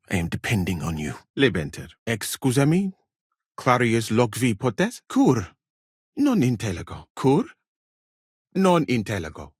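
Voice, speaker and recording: A man playing a courteous, learned foreign physician in a medieval video game, speaking in measured, formal phrases with calm thoughtfulness. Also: mid-range voice, dry recording, clean studio sound. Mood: calm, thoughtful, then puzzled, questioning.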